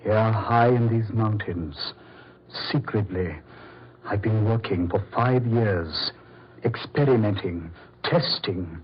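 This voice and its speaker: A male actor playing a courteous, sinister mad doctor in old radio horror, silky, condescending and measured. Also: on the low side, husky. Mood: smugly courteous. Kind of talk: 1940s radio horror acting. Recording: dull, muffled old radio recording.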